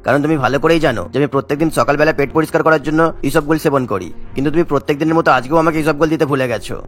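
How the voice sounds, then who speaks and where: A man's voice: fairly high pitch, racing, faint music behind, lightly acted. A man playing a self-centred, demanding member of a cartoon household, grumbling and insistent. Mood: reproachful. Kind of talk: cartoon voice acting.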